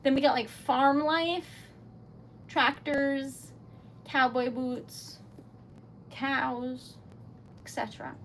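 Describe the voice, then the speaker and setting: A woman's voice: high-pitched, steady tone, very slow, pauses often, a bit bright, a little hiss or hum, low-quality recording. A woman with a Rhode Island accent hosting a casual craft livestream, chatting to her viewers, laughing often and rambling as she goes. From New England. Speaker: livestream host. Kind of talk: casual chat while crafting.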